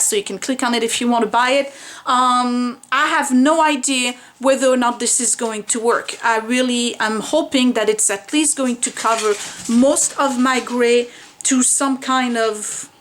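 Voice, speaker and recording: A woman's voice: a little high, normal speed, a bit bright. Chatty woman in her forties vlogging. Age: 40s. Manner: chatty and candid, rambling with fillers. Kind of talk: casual home hair-care vlog. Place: home room by a window.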